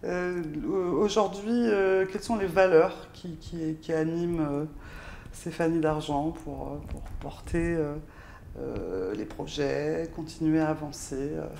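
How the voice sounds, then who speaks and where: A woman's voice: deep voice, vocal fry, dark tone, slight background noise. A woman hosting an interview, asking long questions broken up by fillers and restarts. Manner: halting questions with many fillers. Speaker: interview host.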